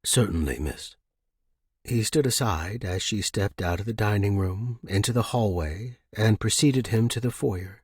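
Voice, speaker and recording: A man's voice: on the low side, moderate pace, hardly any pauses, darkish tone, breathy edge, no room sound, clean studio sound. A man narrating a scripted story as an audiobook reader.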